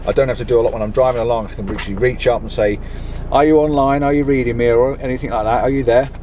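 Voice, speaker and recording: A man's voice: medium pitch, steady tone, rapid-fire, darkish tone. A middle-aged British man giving a casual, enthusiastic product review to camera in a chatty, informal way. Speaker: vlogger reviewing gear. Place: inside a campervan. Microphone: camera on a mount in the van. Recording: background noise inside a vehicle.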